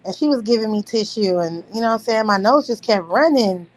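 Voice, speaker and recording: A woman's voice: on the high side, expressive, a little fast, low-quality recording. Laughing, profane woman telling a story. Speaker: host. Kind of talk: casual storytelling chat.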